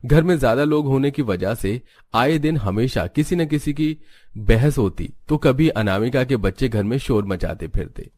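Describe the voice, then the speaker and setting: A man's voice: medium pitch, lively intonation, moderate pace, darkish tone. A man narrating a scripted cartoon story.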